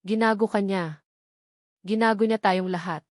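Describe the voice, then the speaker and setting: A woman's voice: mid-range voice, fairly even intonation, unhurried, dry recording, clean studio sound, natural voice. A woman voicing a concerned, protective young man in a romance story. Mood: protective, angry.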